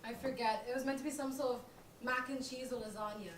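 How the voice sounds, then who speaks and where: A woman's voice: on the high side, steady tone, fast, close to an everyday voice. A young woman playing a defensive, self-doubting roommate in a comedic stage play. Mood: sheepish. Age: young adult. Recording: echoey room, distant mic, clear background noise.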